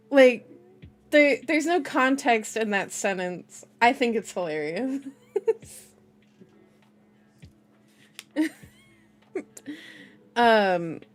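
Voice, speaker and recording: A woman reading a novel aloud in an ASMR style, with informal, giggly asides, as a streamer. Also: medium pitch. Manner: giggly, self-interrupting, informal.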